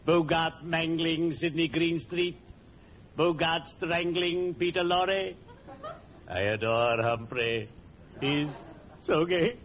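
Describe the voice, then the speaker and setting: A man's voice: fairly high pitch, takes it slowly, long pauses, fairly bright tone. A man playing a cheerfully ghoulish, genteel undertaker in 1940s radio comedy, with formal, theatrical diction. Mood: gleeful. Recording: muffled, faintly noisy old radio recording.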